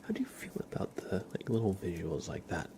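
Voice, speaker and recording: A man hosting an ASMR livestream, speaking softly and half in whispers close to the microphone, in a gentle, halting, unhurried murmur. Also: low voice, wildly swooping pitch. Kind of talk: soft-spoken, half-whispered ASMR chat and playful roleplay.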